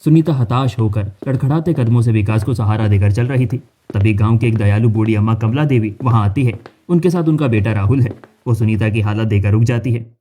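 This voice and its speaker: Mellow male cartoon story narrator. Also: lowish voice, brisk, soft background music.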